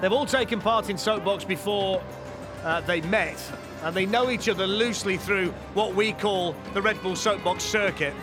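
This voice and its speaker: A man giving lively, jokey live commentary on a downhill race at an outdoor track. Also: sits high, over music. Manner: excitable, quick reactions, jokey.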